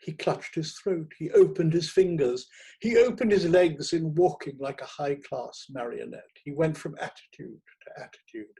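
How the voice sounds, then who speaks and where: A man narrating a literary short story in a theatrical, expressive manner. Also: a little high, moderate pace, creaky, husky, low-quality recording. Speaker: reader of short stories.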